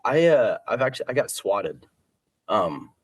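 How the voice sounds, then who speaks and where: A man's voice: lowish voice, very animated, measured pace, breathy edge, no room sound. A man chatting casually as a guest, easygoing and slangy, rambling as he goes. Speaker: interview guest, competitive cornhole player. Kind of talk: casual chat.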